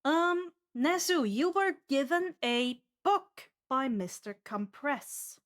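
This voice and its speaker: Female game master, high voice, English as a second language, tells the story to her players. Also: animated, slow. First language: not English. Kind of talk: narrating a tabletop fantasy game.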